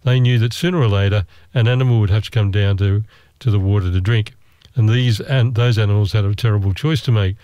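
A man's voice: on the low side, fairly even intonation, fairly quick, warm tone, no room sound. Man, storyteller, dry close sound, wry and self-mocking. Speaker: travel storyteller. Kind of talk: casual storytelling.

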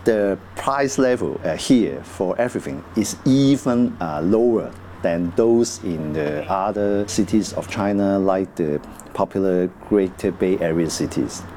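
A man's voice: low voice, measured pace, hardly any pauses, warm tone, a little hiss or hum. A man in his forties from Hong Kong, a native Cantonese speaker, talking hesitantly with many fillers in an interview. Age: 40s. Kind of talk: casual interview.

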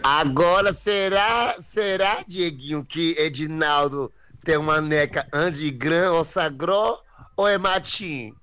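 Slow-talking man, slightly high voice. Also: sharp tone. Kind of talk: joking slang chat.

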